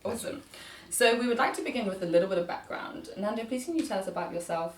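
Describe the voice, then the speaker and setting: A woman's voice: medium pitch, animated, fast talker. A woman hosts a conference podcast, posing polite, prepared questions to her guests. She is recorded from across an echoey room with a faint hiss underneath. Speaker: podcast host. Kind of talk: conversational podcast interview. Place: conference venue, indoors. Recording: echoey room, distant mic, faint noise.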